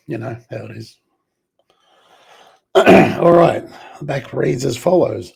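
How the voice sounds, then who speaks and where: A man, hoarse and under the weather, clearing his throat often as he reads film box covers aloud in a slow, relaxed unboxing. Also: medium pitch. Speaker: collector reviewing a film set.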